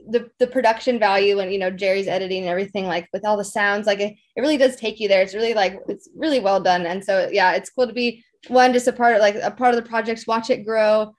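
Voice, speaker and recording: Chatty woman in casual interview. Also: mid-range voice. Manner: effusive, peppers speech with like and you know. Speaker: voice actress interview guest.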